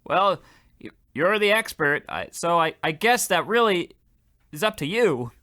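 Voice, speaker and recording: A man's voice: high voice, sing-song, a little slow, pushed and bright, heavily acted. A man playing a meek, cheerful, hapless poor man in a radio comedy, stammering earnestly as he talks. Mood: flustered, stubborn. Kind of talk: old-time radio comedy acting.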